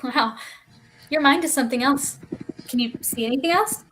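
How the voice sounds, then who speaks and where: Woman playing a needy, high-pitched restless child. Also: normal speed, a bit bright, slight background noise, low-quality recording. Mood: curious, eager. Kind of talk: staged play reading over livestream.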